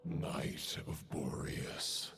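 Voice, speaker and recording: An actor playing a grim, proud knight in a video game, with a gravelly voice and formal, archaic, solemn speech. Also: male voice, drawn-out words, whispered. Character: grim honor-bound undead knight, proud and menacing. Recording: music behind the voice.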